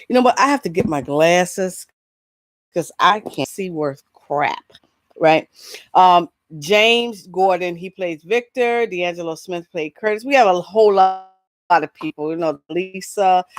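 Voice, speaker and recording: A woman hosting a casual entertainment vlog, talking in a rambling, halting, informal way. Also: on the low side, no echo. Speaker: vlog host. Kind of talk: casual chat, entertainment gossip.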